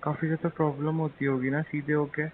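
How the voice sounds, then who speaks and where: A man's voice: on the high side, little pitch movement, brisk, music in the background, lightly acted. A man playing a teasing, penny-pinching young husband-to-be chatting casually and hesitantly on a phone call. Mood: playful, teasing. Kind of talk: acted intimate phone-call drama in casual chat. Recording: tinny phone line, faint noise.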